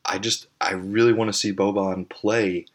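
A man's voice: on the low side, flat delivery, moderate pace. A man hosting a podcast, talking in a rambling, enthusiastic monologue. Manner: rambling, enthusiastic, many fillers. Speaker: podcast host.

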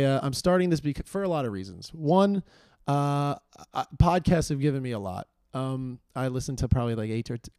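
Hoarse rambling man hosting a podcast. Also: medium pitch, dark tone, dry recording, clean studio sound. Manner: rambling, self-deprecating, lots of fillers. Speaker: podcast host. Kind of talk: casual solo intro monologue.

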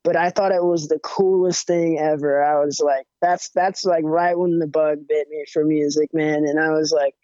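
A young man with a higher-pitched voice, chatting casually as a musician guest with lots of fillers and restarts. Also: normal speed, few pauses, clear, resonant tone, no room sound. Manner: relaxed, rambling, many fillers and restarts. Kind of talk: casual interview chat. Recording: dull, muffled audio.

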